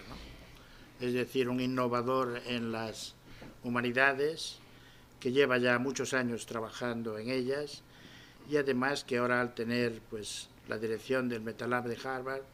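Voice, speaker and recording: A man's voice: medium pitch, slight background noise. A man giving an admiring formal introduction of a guest speaker at a university event, with a few restarts. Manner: warm, admiring, with small restarts and fillers.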